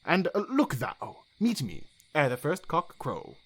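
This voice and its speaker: A man's voice: on the high side, wildly swooping pitch, unhurried, no room sound, exaggerated voice. Man playing a theatrical fairy king, wildly swooping delivery. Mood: commanding. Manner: theatrical, declamatory. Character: commanding fairy king, scheming and incantatory. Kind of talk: classical Shakespearean verse acting.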